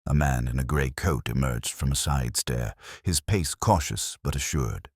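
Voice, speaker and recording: Super deep voiced guy reading a detective audiobook, really animated, dry close sound. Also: unhurried, husky, dry recording. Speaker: narrator of a detective story. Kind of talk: scripted dramatic audiobook narration.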